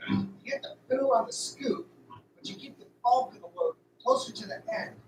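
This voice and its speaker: A man's voice: very high-pitched, wildly swooping pitch, fairly quick, heavily acted. A man acting in an amateur radio drama as a wry, uneasy young farmhand with casual, sarcastic speech. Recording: roomy, distant, faint background noise.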